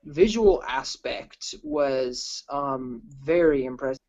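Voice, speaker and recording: A young man chatting casually as an amateur film critic, opinionated and a bit halting in delivery. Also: mid-range voice, darkish tone, lo-fi sound. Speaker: amateur film critic on a group review show. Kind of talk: casual review chat.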